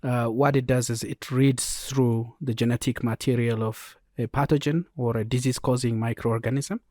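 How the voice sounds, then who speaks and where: A man with an Ethiopian accent, explaining as an expert guest in a podcast interview in a measured way. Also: mid-range voice, fairly even intonation, dark tone, dry recording, studio quality. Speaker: genomics programme lead, interview guest.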